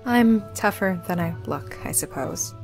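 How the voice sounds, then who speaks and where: A woman's voice: fairly low pitch, animated, unhurried, creaky, a little mellow, faint music behind, pitched below the performer's own voice. Woman voicing an earnest young female necromancer. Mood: wry. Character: young female necromancer, serious, tough, considerate. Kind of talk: visual novel dialogue read aloud.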